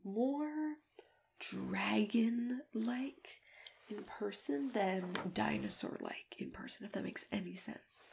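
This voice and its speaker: Soft, creaky-voiced woman doing ASMR roleplay. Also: medium pitch. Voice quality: soft, breathy, often slipping into whisper. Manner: gentle, unhurried, halting, with small agreeing hums. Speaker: ASMR roleplayer acting as a monster matchmaking consultant. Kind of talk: soft-spoken ASMR roleplay, improvised one-sided client consultation. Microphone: close microphone. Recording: close ASMR recording with typing, clicks, hiss.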